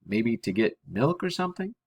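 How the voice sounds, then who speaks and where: Man voicing a wary teenage boy, husky. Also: medium pitch, sing-song, normal speed, darkish tone. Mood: nervous. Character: wary, polite teenage boy caught stealing.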